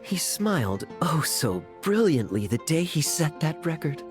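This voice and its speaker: A woman voicing a suave, teasing young knight with sly humor in a video game, in a smooth, theatrical manner. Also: low voice, sing-song, a little slow, music in the background. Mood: amused, teasing.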